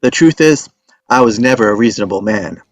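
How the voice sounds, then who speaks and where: A man's voice: mid-range voice, fairly quick, no room sound, natural voice. An actor playing an earnest, adventurous young man who tells his story in a screenplay table read. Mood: reflective.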